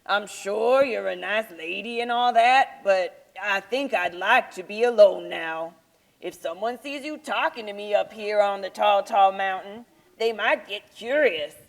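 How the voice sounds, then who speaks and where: Woman playing a creaky, slow-talking timid Yeti. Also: mid-range voice. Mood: polite, reluctant. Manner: hesitant, reluctant, mild-mannered. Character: gentle, timid, reluctant, cute fluffy Yeti creature. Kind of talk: children's puppet show acting.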